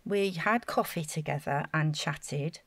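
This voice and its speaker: A woman's voice: deep voice, measured pace, no room sound. An actress playing an earnest, naive council housing officer in an audio drama, chatty and flustered as she over-explains. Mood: explaining.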